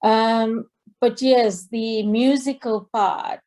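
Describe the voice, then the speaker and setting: A middle-aged woman from Tanzania, a singer on a video call, talking slowly with many fillers and restarts. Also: on the high side, steady tone, a little mellow. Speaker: guest singer. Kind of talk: interview over video call. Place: indoor home room.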